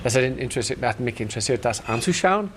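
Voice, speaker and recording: A man's voice: medium pitch, animated, fast. A middle-aged Irish comedian, a man with an Irish accent and native English, talking casually and searching for words in a second language. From Ireland. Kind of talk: casual interview talk. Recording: light background noise on location.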